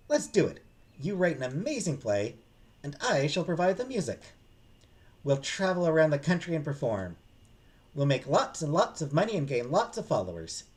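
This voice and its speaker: A man voicing a lively, dramatic young woman pianist, exuberant and full of grand schemes in a visual novel. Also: on the high side, voice pushed up.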